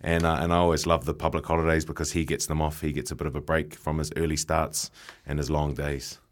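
A young New man, a professional rugby player, chatting as a radio guest in a halting way and repeating his words. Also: rumbling low, flat delivery. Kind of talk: casual radio chat.

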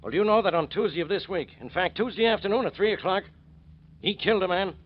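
An actor playing a blustering, self-important police inspector in 1940s radio style, bossy in manner. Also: male voice, sits high, fast talker, husky. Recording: muffled, noisy old radio recording.

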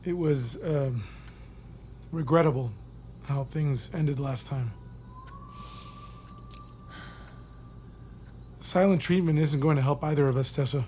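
A man playing an uneasy military doctor in an audio drama, questioning in a measured, hesitant, coaxing way. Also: on the high side, warm tone, slight background noise, lightly acted. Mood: careful, coaxing. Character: probing, uneasy male military doctor interviewing an accused recruit. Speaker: voice actor in audio fiction. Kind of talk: naturalistic audio drama acting.

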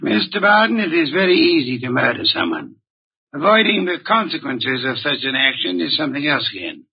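Old muffled radio show, grumpy pompous genius detective man, bit husky, very formal and bossy. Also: fairly high pitch, big pitch swings, a little fast, full character voice. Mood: stern, commanding. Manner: pompous, precise, formal diction, curt. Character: imperious, grumpy, erudite male private detective genius. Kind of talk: old-time radio drama acting. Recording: muffled vintage radio drama recording.

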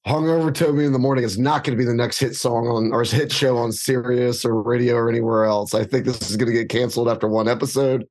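Middle-aged male podcast co-host, raspy and hungover, eager, challenges his partner with questions. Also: mid-range voice, fairly even intonation, fast, few pauses, a little mellow. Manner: eager, pushes back with questions. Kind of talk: casual sports chat.

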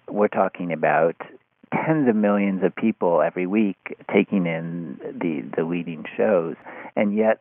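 Male interview guest, deep register, telephone-band audio, reflective and halting, conversational delivery with frequent restarts. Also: dry recording. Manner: thoughtful, halting, often restarts phrases. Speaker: interview guest, writer of an article on podcasts. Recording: phone-like narrow-band sound.

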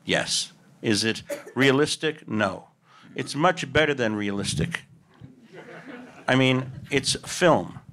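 A man's voice: mid-range voice, animated, takes it slowly, fairly bright tone, a little hiss or hum. Man musing through a film Q&A. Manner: musing, answers his own rhetorical questions. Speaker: film director at a Q&A. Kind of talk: casual panel Q&A answers.

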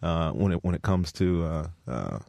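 A man in his thirties with a low, gravelly voice, chatting easily as a guest and restarting his sentences often. His voice is recorded close and dry, with no room sound. He sounds relaxed. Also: normal speed, no room sound. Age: 30s. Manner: easygoing, laughs often, frequent restarts. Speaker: football player guest. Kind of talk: casual interview chat.

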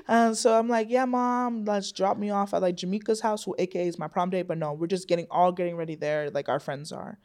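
A woman's voice: on the low side, brisk. A young adult woman, a podcast guest, telling a family story in casual chat and acting out the voices of others. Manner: animated storyteller, acts out quoted voices.

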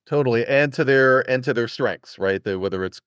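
A man with a medium-pitched voice hosts a conversational podcast interview in a very dry recording. He speaks as an enthusiastic interviewer, affirming and setting up his questions. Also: fairly quick, a bit bright, smooth, dry recording. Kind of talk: casual interview chat.

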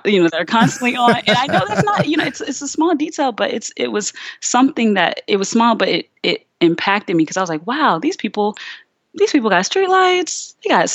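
A young woman from Virginia chatting candidly as a podcast guest, peppering her talk with "like" and quick restarts. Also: medium pitch, no room sound. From Richmond, Virginia, USA. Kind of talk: casual interview conversation. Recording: slightly dull, lo-fi sound.

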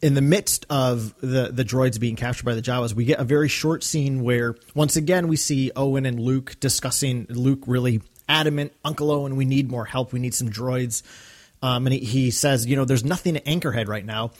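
A man's voice: mid-range voice, moderate pace. Enthusiastic male podcast co-host. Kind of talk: casual discussion of a radio drama.

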